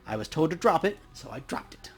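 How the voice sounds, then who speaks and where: A world-weary coroner from a cyberpunk game, read out by a man. The voice is slightly high with varied intonation, and the delivery is dry and factual over faint music. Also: very animated, brisk. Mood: matter-of-fact.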